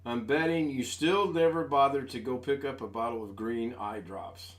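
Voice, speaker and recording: A man's voice: medium pitch, normal speed. A man in his sixties hosting a casual live stream from home on a desk microphone, chatting in a rambling, folksy, self-deprecating way. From United States. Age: 60s. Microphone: desk USB microphone.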